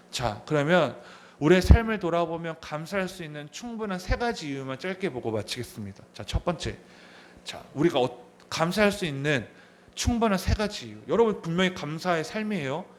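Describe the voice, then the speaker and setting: A pastor in his thirties preaches from the pulpit in a voice that sits a little high for a man. He often asks the people in front of him questions and says his main points more than once. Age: 30s. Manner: asks the congregation questions, repeats key phrases. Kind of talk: sermon. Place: church sanctuary.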